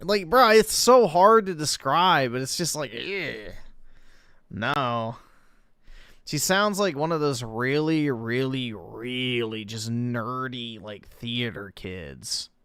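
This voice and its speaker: A man speaks slowly and deadpan, his voice gravelly with fry yet smooth and resonant underneath. The sound is close and dry, with no room around it. He comments bluntly and crudely on clips as he streams. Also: medium pitch, dry recording.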